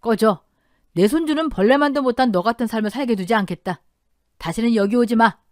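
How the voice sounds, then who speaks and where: A woman's voice: medium pitch, moderate pace, a little mellow, no echo, clean studio sound, close to an everyday voice. A woman voicing a stern, dignified elderly father-in-law with a firm, commanding manner in an audio drama. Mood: cold anger.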